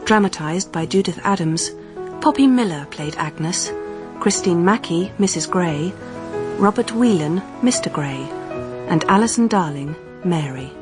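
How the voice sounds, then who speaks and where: Woman, radio announcer, scripted credits, formal, composed, slightly low voice, clear music behind. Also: expressive, measured pace. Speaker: announcer reading drama credits. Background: music, piano.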